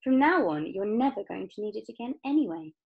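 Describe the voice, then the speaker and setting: Lady doing an excitable eccentric factory boss guy from a storybook, swoops about loads, really punches his words. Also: mid-range voice, fairly quick, vocal fry, phone-quality sound. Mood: hearty. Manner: emphatic, excitable, commanding. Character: exuberant eccentric chocolate factory owner, grown man.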